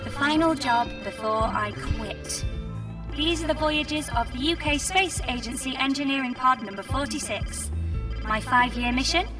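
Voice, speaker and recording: A woman's voice: high-pitched, moderate pace, music in the background, natural voice. A woman playing a weary, sardonic space engineer trapped in a pod, in an audio comedy drama. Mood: wry, mock-heroic.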